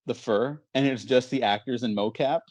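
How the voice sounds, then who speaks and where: A man hosting a movie podcast in casual chat, informal and halting, often repeating his words. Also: mid-range voice, dry recording. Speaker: podcast host.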